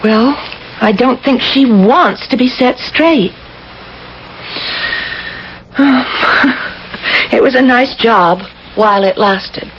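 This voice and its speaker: A woman's voice: on the high side, very slow, pauses often. An actress playing a timid, honest female social worker in a radio mystery drama, hesitant, apologetic and earnest. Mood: worried. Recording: dull, noisy old radio broadcast.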